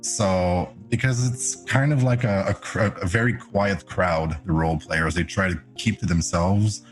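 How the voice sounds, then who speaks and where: A man's voice: measured pace, faint music behind. A man from Canada whose first language is French, chatting casually as a podcast guest and laughing often. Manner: chatty, laughs often, animated jumps in pitch. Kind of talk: casual chat.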